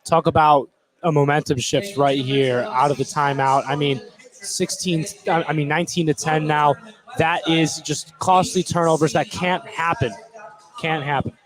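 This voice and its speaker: A man with a moderately high voice offers casual color commentary on a live basketball game. His analysis is opinionated and delivered with emphasis. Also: steady tone, unhurried, crowd noise, rough recording. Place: indoor basketball gym.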